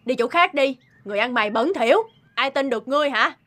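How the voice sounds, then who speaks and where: A woman's voice: high-pitched, animated, fast. A woman playing a scornful, dismissive rich housewife in a fairy-tale cartoon. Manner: sneering, dismissive. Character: haughty, scornful rich housewife turning away a beggar. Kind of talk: animated fairy-tale dubbing. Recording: loud music behind. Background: loud music.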